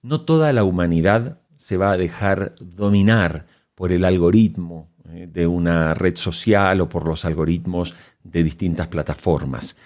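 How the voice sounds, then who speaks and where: A man's voice: low-pitched, a little slow, few pauses, darkish tone. A man hosting a podcast, delivering prepared commentary to listeners. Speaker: podcast host.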